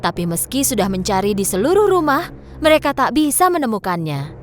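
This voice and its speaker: A woman's voice: on the high side, very animated, brisk, a little hiss or hum. A woman with an Indonesian accent narrating a scripted children's story as the storyteller.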